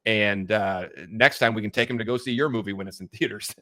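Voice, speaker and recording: A man hosting a casual interview, agreeing with his guest in a halting way with repeated words. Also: fairly low pitch, sharp tone. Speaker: talk show host.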